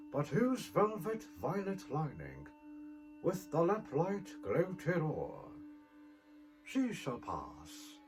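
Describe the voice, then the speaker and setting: A man putting on a character voice as a solemn, haunted narrator, gravely reciting a gothic horror poem. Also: fairly high pitch, exaggerated voice. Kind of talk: dramatic poetry recitation in impersonated character voice.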